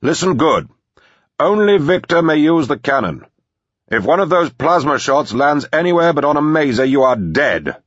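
A man voicing an angry, suspicious defender calling out loudly and bluntly in an action audiobook. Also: sing-song, moderate pace, dry recording, muffled recording. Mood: suspicious, commanding. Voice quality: loud, booming. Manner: blunt, threatening. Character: angry, suspicious man booming from a besieged manor.

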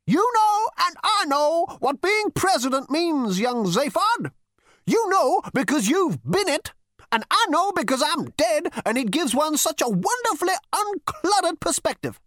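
A woman voicing a crotchety, hunched old male ghost who scolds and lectures in a high, scornful tone. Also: very animated, measured pace, a bit bright, dry recording, clean studio sound, heavily acted. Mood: lecturing. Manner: shrill, scornful, lofty lecturing. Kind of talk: audiobook character voice.

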